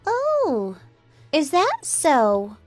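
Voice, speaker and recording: A woman's voice: high voice, huge pitch swings, drawn-out words, dry recording, soft background music, heavily acted. A woman playing an entitled, self-serving young married woman in a radio drama, with a dramatic, easily flustered delivery. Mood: stunned, disbelieving. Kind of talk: scripted radio drama acting.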